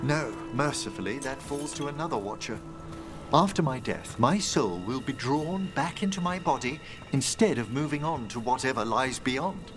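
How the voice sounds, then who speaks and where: An actor playing a gentle, wistful, scholarly older necromancer in a fantasy video game, with formal, measured, eloquent phrasing. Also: male voice, medium pitch, animated, close to an everyday voice. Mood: anxious, wistful. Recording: game music playing behind.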